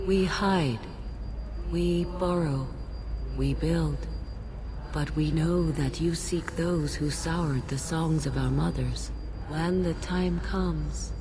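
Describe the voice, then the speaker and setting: A solemn female alien messenger from a sci-fi game, with a notably low voice. She is earnest and calm, with a reverent devotion in her delivery. Faint ambient noise sits behind her. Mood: solemn, prophetic. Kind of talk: scripted video game dialogue.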